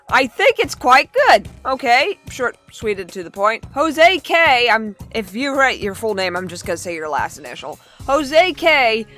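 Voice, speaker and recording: A woman hosting a fan commentary vlog, talking in a gushing, excitable way and repeating herself for emphasis. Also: fairly high pitch. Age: adult. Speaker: YouTube fan channel host. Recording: music behind the voice. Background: background music.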